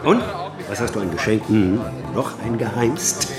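A man's voice: lowish voice, animated, moderate pace, over loud music, natural voice. An actor in a radio play playing a middle-aged man who tells things in an ornate, mock-pompous, hesitant way. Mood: dry, ironic. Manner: ornate mock-pompous phrasing with stammered starts. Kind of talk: naturalistic radio play dialogue, anecdote telling.

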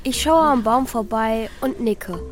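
A woman's voice: fairly high pitch, unhurried, music in the background, close to an everyday voice. A woman playing a pensive, wistful child who narrates and speaks plainly in a children's radio play. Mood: sulky, wistful. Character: Mika, pensive child missing a parent at Christmas. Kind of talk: radio play first-person narration and dialogue.

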